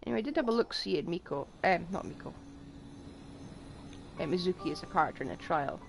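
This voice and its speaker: Woman game streamer: chatty live commentary, expressive swings in pitch, dryly joking, clear music underneath. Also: quick pace. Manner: chatty, dry quips. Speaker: livestreamer.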